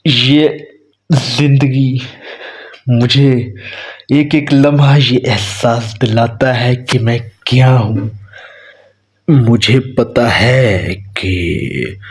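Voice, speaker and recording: A man playing a brooding, lovesick vampire king in a romantic audio drama, speaking in a halting, mournful way. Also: medium pitch, a little mellow. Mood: bitter. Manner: slow, halting, mournful delivery.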